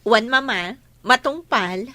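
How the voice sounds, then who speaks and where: A woman's voice: fairly high pitch, slow pace, pushed and bright, no room sound. A woman playing an affectionate young woman being courted, acted in the style of a radio comedy drama. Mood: eager, affectionate.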